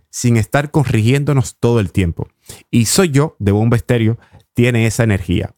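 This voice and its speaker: A man with a Cuban accent presenting a reflective music radio show in an unhurried, deliberate way, pausing often. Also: mid-range voice, a little mellow, no room sound, clean studio sound. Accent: Cuban Spanish. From Cuba. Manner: unhurried, deliberate, with long pauses. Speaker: radio host. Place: radio studio.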